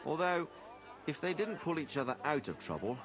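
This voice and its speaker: An actor playing a dignified, fatherly middle-aged medieval lord in a video game, speaking in a measured, formal way. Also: on the high side, big pitch swings. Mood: wry fondness. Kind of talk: cinematic video game dialogue.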